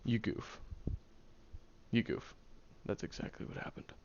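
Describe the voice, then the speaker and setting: A man's voice: a little slow, darkish tone, lightly acted. A man playing a sarcastic, exasperated tsundere young man who grudgingly looks after someone in an audio roleplay, speaking straight to the listener. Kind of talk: audio roleplay acting, spoken directly to the listener. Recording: dull lo-fi audio with faint noise.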